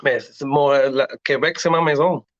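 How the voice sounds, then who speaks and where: Singer guest, male, halting and earnest, middle pitch, muffled lo-fi recording. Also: fairly even intonation, dry recording. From Latin America. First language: Spanish. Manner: earnest, halting, frequent restarts. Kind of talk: casual interview talk. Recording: dull lo-fi audio.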